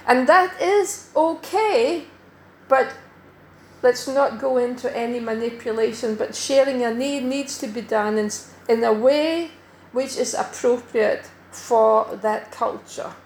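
A middle-aged woman from Denmark preaching a sermon in a church, measured and emphatic, slowing to stress key words. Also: a little high, a little mellow, a little hiss or hum. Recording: echoey church hall.

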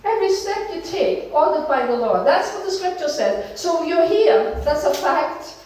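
A woman's voice: high-pitched, sing-song, normal speed, darkish tone, slight background noise. A woman from Denmark preaching a sermon as a pastor, earnest and encouraging, in short emphatic phrases. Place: church hall. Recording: very echoey church hall.

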